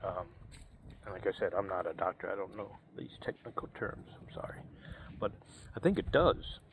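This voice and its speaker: A man in his fifties talking casually to camera, halting and full of fillers and restarts. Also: on the low side, lively intonation, a little hiss or hum, poor audio. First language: English. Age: 50s. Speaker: vlogger. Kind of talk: casual personal talk to camera.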